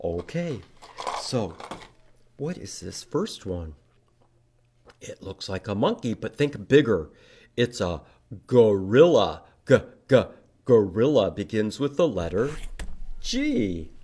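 Animated, unhurried storytime man. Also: fairly low pitch, breathy edge. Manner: animated, emphatic, addressing young children. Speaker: library storytime host. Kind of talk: children's read-aloud and teaching. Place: indoor public library room.

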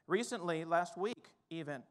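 High-voiced male pastor preaching on stage. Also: lively intonation, measured pace. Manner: builds from quiet to fired-up exhortation. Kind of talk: sermon. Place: church stage with drum kit, indoors.

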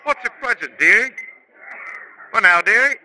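A leering lowlife tavern customer in an old radio play. The voice is high and very sharp, on a vintage recording. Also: male voice, animated, moderate pace, full character voice, poor audio. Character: leering, wheedling lowlife London pub patron. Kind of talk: 1950s radio drama acting.

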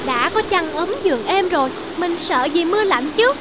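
A woman's voice: extremely high pitch, animated, normal speed, very noisy. A woman voicing a timid, childlike young rabbit in a cartoon, exclamatory and eager. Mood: cheerful. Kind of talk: cartoon dubbing.

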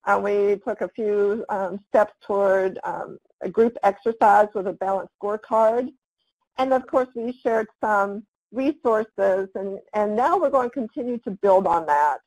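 Halting woman presenting a webinar, dry sound. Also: mid-range voice, darkish tone, no room sound, poor audio. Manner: halting, with frequent "um" fillers. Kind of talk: online webinar presentation over slides.

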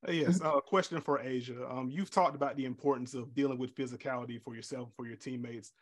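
Man, sports reporter, medium pitch, fast pace, remote questioning. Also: steady tone. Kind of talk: press conference questioning. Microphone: video call.

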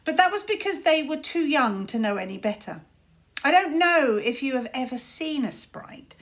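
A woman in her thirties reading a storybook aloud to children, deliberately and expressively, like a teacher. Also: fairly high pitch. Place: small room at home, indoors.

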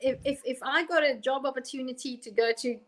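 High-voiced middle-aged woman, halting. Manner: halting, repeats phrases. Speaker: panelist. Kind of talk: casual panel discussion. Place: room at home. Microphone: video call.

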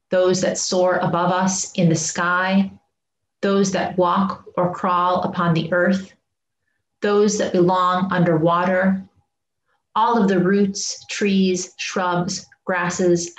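A middle-aged woman reads aloud in a solemn style. Her voice is on the lower side and unhurried, and the room rings around it. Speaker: reader in a church service. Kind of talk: solemn read-aloud reading. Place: indoor home room. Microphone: webcam at desk distance. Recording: muffled, echoey room recording.

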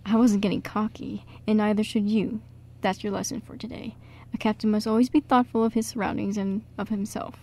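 A woman's voice: mid-range voice, little pitch movement, fairly quick, darkish tone, lightly acted. A woman playing a genial male stage director in an amateur radio drama, jaunty and mock-formal. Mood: playfully grand.

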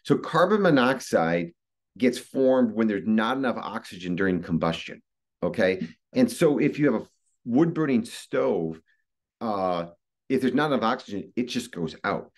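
A man's voice: on the low side, very animated, normal speed. A middle-aged man with an American accent giving an informal, explanatory talk on a video call, conversational and repeating phrases for emphasis. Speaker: guest expert giving a presentation. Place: indoor room, video call. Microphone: video call microphone.

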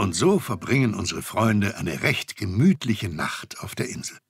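Low, creaky male storyteller. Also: sing-song, normal speed, over loud music. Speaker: narrator. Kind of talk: scripted storytelling for a children's audio drama.

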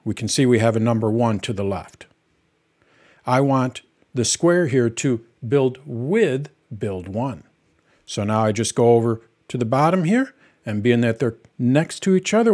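A middle-aged man hosting a software tutorial, walking through each step in a plain, patient way as he works on screen. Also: medium pitch, expressive, a little slow.

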